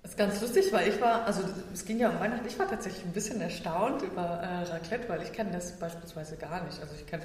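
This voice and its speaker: A woman's voice: lowish voice, quick pace, hardly any pauses, a little mellow. A woman sommelier with a Berlin accent, chatting about wine with a lot of fillers and easy laughs. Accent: Berlin German. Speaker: sommelier guest. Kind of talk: casual expert chat. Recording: very echoey room, faint noise.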